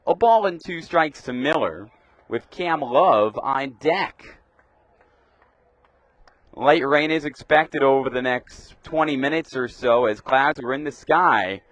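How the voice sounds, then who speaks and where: A man's voice: medium pitch, slow pace, fairly bright tone, no room sound. Young man calling baseball play-by-play. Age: young adult. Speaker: radio play-by-play baseball announcer. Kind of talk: live baseball play-by-play commentary.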